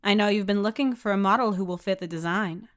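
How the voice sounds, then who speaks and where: A woman's voice: mid-range voice, quick pace, lightly acted. A woman voicing an approving, encouraging adult schoolteacher in a story. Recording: music behind the voice.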